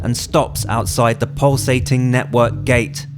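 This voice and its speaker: A man's voice: medium pitch, fairly even intonation, measured pace, gravelly. A man reading scripted audio drama narration, setting out scenes and action. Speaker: writer narrating his own screenplay as audio drama. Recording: music bed under the voice.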